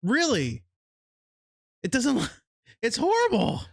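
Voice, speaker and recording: A man with a deep, grizzled voice chatting casually as a podcast co-host, blunt and profane, breaking into loud excited outbursts. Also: slow pace, vocal fry, husky, no echo, clean studio sound. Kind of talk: casual chat.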